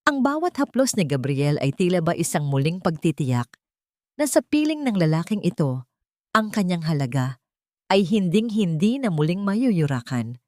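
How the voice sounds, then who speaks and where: A woman storyteller reading a scripted dramatic story in a measured, solemn and sentimental way, phrase by phrase. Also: fairly low pitch, big pitch swings, a little mellow, dry recording. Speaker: storyteller, narrator.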